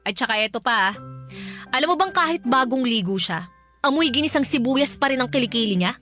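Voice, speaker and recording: A woman's voice: high-pitched, animated, fairly quick, sharp tone, faint music behind. An actress playing an irritable, exasperated young woman in a radio drama, speaking emphatically. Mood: gossipy, emphatic. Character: irritable young woman hiding jealousy, fibbing to her friend. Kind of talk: Tagalog radio drama acting.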